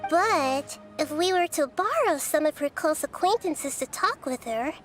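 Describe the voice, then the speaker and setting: A woman voicing a calm, wise young girl deity in a video game, speaking in a measured, explanatory way. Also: high-pitched, faint music behind. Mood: measured scheming.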